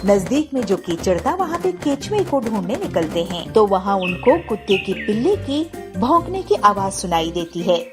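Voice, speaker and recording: A woman tells a children's tale in a mid-pitched voice with very animated intonation. She narrates as a storyteller for young listeners. Music is clearly heard behind her. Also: normal speed, few pauses.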